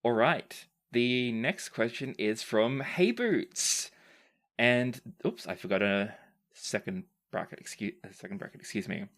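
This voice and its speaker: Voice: mid-range voice, expressive, unhurried, fairly bright tone. A podcast host and game master with a playful, wry manner, chatting casually and reading out listener questions. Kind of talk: casual Q&A chat.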